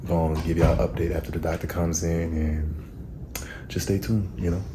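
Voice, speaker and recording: A man's voice: deep voice, level tone, moderate pace, noisy background. A man chatting casually and playfully while filming, teasing and affectionate in his talk. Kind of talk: casual chat filming for the vlog.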